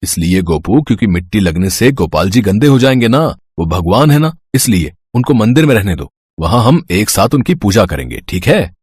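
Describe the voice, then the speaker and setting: A man voicing a gentle, patient young father who explains soothingly in a children's moral story. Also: fairly low pitch, big pitch swings, normal speed, hardly any pauses, breathy edge. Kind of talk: animated Hindi moral story dialogue.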